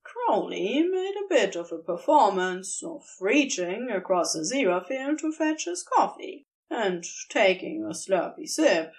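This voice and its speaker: A woman reading fan fiction aloud as a podfic, in an unhurried, expressive storytelling manner. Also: mid-range voice, distant mic. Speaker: podfic reader. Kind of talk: audiobook-style fiction reading.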